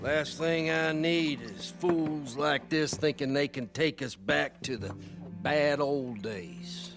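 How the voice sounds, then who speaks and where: An actor playing a folksy, tough American small-town sheriff in a western video game. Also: male voice, fairly high pitch, slow pace, over music. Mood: smug.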